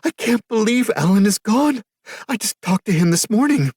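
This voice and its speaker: A grieving young woman cries and pleads in a high, shaky voice, as a male reader puts her on. Her words tumble out between sobs, and a husky grain sits under the strain. The reading is dry and close, with no room around it. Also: big pitch swings, normal speed, darkish tone, no echo. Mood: distraught. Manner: teary, sobbing, breathless pleading.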